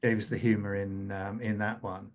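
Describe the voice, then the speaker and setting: Halting man in a panel conversation. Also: on the low side, flat delivery. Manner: halting, with repeated words and false starts. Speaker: scriptwriter on a panel.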